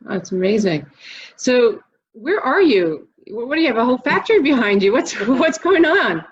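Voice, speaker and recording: A woman's voice: a little high, big pitch swings, normal speed, breathy edge. A woman hosting a casual interview, encouraging and enthusiastic, with chatty introductions and questions. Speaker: host. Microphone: video call. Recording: dull lo-fi video call audio.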